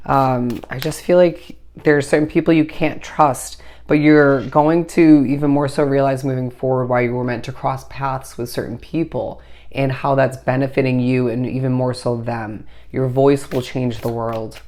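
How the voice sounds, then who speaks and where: A tarot reader with a hoarse voice that is still recovering, giving a meandering, intuitive solo reading and speaking straight to the listener. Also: medium pitch, fairly even intonation, moderate pace, a little mellow. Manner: meandering, intuitive delivery full of "I do feel as if"; reassuring, addresses the listener directly.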